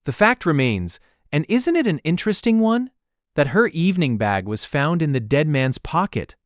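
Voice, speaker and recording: Erudite young detective, animated and dry-sounding. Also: male voice, fairly high pitch, no echo. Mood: analytical, rueful. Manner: pedantic, erudite, deliberate phrasing. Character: Ellery, bookish young male amateur detective, calm, analytical. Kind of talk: full-cast audiobook mystery drama.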